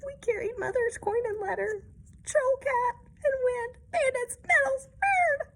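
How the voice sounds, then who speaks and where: A woman's voice: very high voice, unhurried, pitched above the performer's own voice. A woman voicing an anxious young kitten in a storybook, fretting and exclaiming dramatically about scary things. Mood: excited, anxious.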